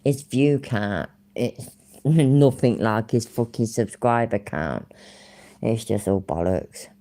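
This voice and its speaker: A woman's voice: very deep voice, takes it slowly, mellow. A woman with an English Midlands accent, chatting casually to her live-stream audience; she rambles, swears freely and laughs often.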